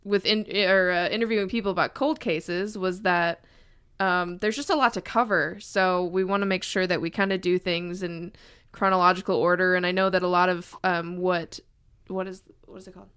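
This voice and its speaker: A woman from central Wisconsin co-hosting a podcast, chatting in a relaxed, self-deprecating way. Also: fairly low pitch, normal speed, clean tone, no echo, studio quality. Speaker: podcast co-host. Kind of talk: casual chat.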